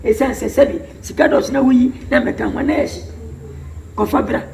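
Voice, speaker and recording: A man's voice: very high-pitched, steady tone, unhurried, creaky, a little mellow, full character voice. An actor with a Ghanaian accent playing a scheming older man who bargains over money. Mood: persuading. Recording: roomy, distant, faint hiss.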